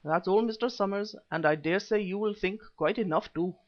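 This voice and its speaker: A man's voice: sits high, a little fast, natural voice. A man voicing a prim, fussy male interpreter, pompous, wordy and disdainfully apologetic, in an audiobook adventure story. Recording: slightly dull, lo-fi audio.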